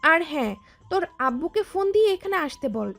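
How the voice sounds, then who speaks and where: A woman's voice: very high voice, very animated, normal speed, gravelly, a bit bright, exaggerated voice. A woman playing a caring but firm mother in an audio story, coaxing and instructing. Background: background music.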